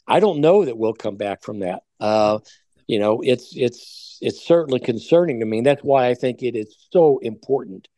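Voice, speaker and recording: An older American man talks as a guest commentator in a warm, mellow voice of medium pitch. He is conversational but halting, often stumbling and restarting. The sound is dry, without room echo. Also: lively intonation. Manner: hesitant, halting delivery with many stumbles. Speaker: talk show guest commentator.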